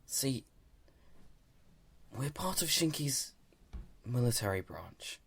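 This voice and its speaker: An actor playing an earnest, loyal young military subordinate in an audio drama, speaking carefully and hesitantly. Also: male voice, medium pitch, very animated, takes it slowly, creaky, a bit bright, slightly rough, exaggerated voice. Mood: careful, guarded.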